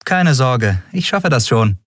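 A man's voice: mid-range voice, big pitch swings, slow, a bit bright, slightly rough, no room sound, studio quality, voice pushed up, heavily acted. A man voicing a nervous young female ship captain in an audiobook who tries to sound casual. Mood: feigned innocence.

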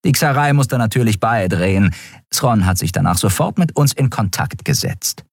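A man's voice: lowish voice, lively intonation, moderate pace, breathy edge, no echo, studio quality, voice pushed up. A man voicing a female alien first officer who reports in a formal military manner, in an audiobook.

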